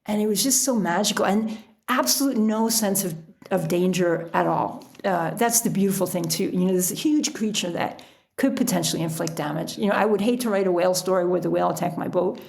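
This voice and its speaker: A middle-aged woman telling stories casually as an interview guest, in an animated, chatty way. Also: fairly low pitch, brisk, gravelly. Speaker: podcast guest, author and sailor.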